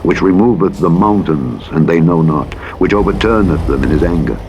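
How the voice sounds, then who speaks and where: Man, low deep voice, solemn scripture narration, measured formal delivery, light truck engine noise behind. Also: a little mellow, highs cut off. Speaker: audiobook Bible narrator. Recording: engine and vehicle noise over playback. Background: truck simulator engine noise.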